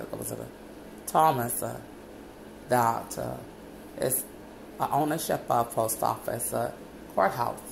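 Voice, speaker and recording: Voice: mid-range voice, gravelly, noisy background. A speaker praying aloud in a long, wandering prayer, calling out "Lord God" again and again between loose phrases. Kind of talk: rambling spoken prayer.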